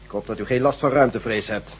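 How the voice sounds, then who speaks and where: Man acting a fast-talking commanding rebel chief. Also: mid-range voice, slightly rough, lightly acted. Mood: businesslike. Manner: curt, authoritative, given to cursing. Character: brisk, commanding leader of a ragged rebel troop. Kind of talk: Dutch science-fiction radio drama acting. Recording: muffled old radio drama recording.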